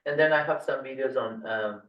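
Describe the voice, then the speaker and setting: A man born in Afghanistan whose first language is Dari, giving a tech tutorial over a screen share, halting with many fillers and restarts. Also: mid-range voice. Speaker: tutorial instructor. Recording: roomy, distant, dull lo-fi mic.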